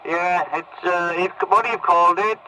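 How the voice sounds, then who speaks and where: A man's voice: sits high, steady tone, a little slow, muffled recording. A man running a casual CB radio net, talking in a rambling, hesitant way over a radio transmission. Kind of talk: casual amateur radio chat. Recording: very noisy CB radio reception.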